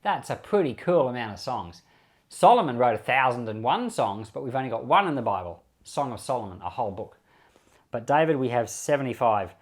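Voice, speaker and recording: A man's voice: medium pitch, sing-song, fairly quick. A man, a pastor reading scripture and explaining it informally. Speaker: pastor reading and commenting on Bible.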